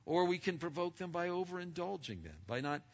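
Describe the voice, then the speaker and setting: A man's voice: sits high, big pitch swings, a little fast. Big-voiced preacher man, lo-fi. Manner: loud and emphatic, with many stammered restarts. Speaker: pastor. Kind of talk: sermon to a congregation. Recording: lo-fi recording.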